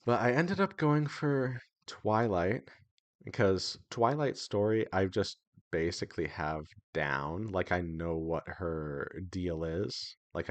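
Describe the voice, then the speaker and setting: A man's voice: sits low, no echo. A man talking casually as the host of an indie developer devlog, in a hesitant, conversational way that often doubles back on itself.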